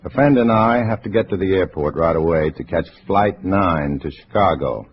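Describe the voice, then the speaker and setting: A man's voice: low voice, lively intonation, moderate pace, a little hiss or hum. An American man playing a tense undercover agent in a 1950s radio spy drama, terse and hard-boiled. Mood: urgent pretence. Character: tense undercover agent posing as a communist comrade. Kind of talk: 1950s radio drama acting and first-person narration. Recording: dull old radio recording with music.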